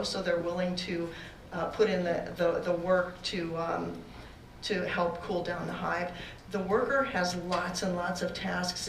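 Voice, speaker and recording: A woman's voice: medium pitch, recorded from a distance, heavy background noise. Woman lecturing in an echoey room. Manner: loud voice, halting with fillers and restarts. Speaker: beekeeping course presenter. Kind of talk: classroom lecture with slides. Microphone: far room recording, no mic for a while.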